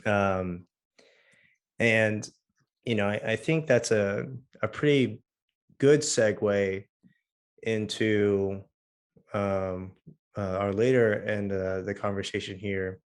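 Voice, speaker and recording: A young man with a hoarse voice hosting a casual podcast interview, talking in halting bursts with many fillers and restarts. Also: fairly low pitch, steady tone. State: hoarse from losing his voice. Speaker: podcast host.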